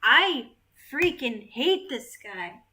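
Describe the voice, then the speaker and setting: A woman's voice: high-pitched, big pitch swings, slow pace, sharp tone, a little hiss or hum. An American woman in her thirties, casually chatting on a livestream and reading out viewer comments with a playful, laughing manner. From United States. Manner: playful, laughs often, reads comments aloud. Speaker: vlogger reading live chat. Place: living room indoors.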